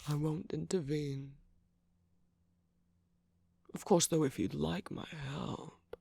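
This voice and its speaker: A man acts out a jaded, teasing villain's minion in a romantic ASMR roleplay. He drawls at an unhurried pace, breathy and husky with a gravelly fry, often slipping into whispers. The recording is close and dry. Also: on the high side, steady tone, warm tone, no echo, heavily acted. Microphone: close microphone, dry sound.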